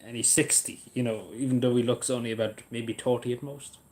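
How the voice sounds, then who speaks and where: A man's voice: medium pitch, steady tone, fairly quick, warm tone. A man chatting casually on a livestream, rambling and hesitant with lots of fillers.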